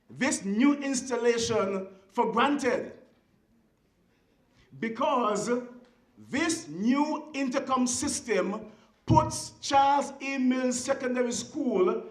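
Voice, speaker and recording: A speaker with a Kittitian accent giving a formal ceremonial speech in a deliberate, oratorical way, with emphatic short phrases. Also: high-pitched. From Saint Kitts and Nevis. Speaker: guest speaker at a school ceremony. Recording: echoey venue sound.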